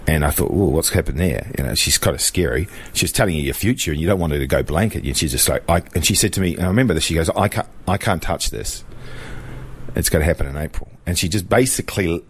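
A middle-aged man from New Zealand, a guest sharing his personal testimony in a relaxed, reflective way, with fillers and restarts. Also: extremely low pitch, quick pace, husky.

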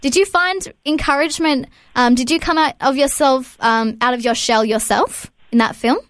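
A woman's voice: sits high, normal speed, fairly bright tone, no room sound. Chatty young woman co-host, dry sound. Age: 20s. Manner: chatty, long questions with many "um" fillers. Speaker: radio co-host. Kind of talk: casual interview chat.

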